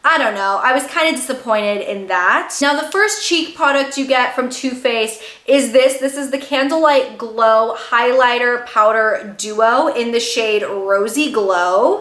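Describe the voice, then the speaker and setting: A woman's voice: fairly high pitch, fairly bright tone, reverberant. Chatty woman with a slightly scratchy voice. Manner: chatty, detailed and candid. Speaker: beauty vlogger. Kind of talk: product review vlog.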